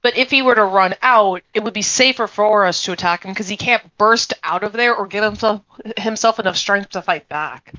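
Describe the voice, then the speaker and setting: A woman with a bright, sharp voice at middling pitch reasons along in a casual group discussion. The recording is dry and slightly muffled. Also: normal speed, dry recording.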